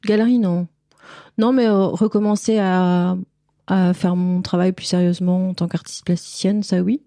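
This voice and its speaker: A woman's voice: fairly low pitch, steady tone, moderate pace, warm tone, no room sound, clean studio sound. A woman from France, speaking candidly and informally as a guest in a casual interview. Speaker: podcast guest, cleaning lady and visual artist.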